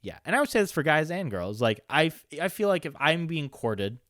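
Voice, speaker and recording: A man's voice: fairly high pitch, big pitch swings, a little fast, fairly bright tone, dry recording, studio quality. A man chatting casually as a podcast host, joking, interjecting and swearing as he goes. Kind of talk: casual chat.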